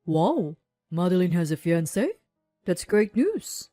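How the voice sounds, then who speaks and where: A woman's voice: lowish voice, big pitch swings, takes it slowly, dark tone, no room sound, faint music behind. A woman voicing a serious, concerned male confidant in earnest, emphatic story dialogue. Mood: pleased. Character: serious, concerned confidant named Conrad, a man.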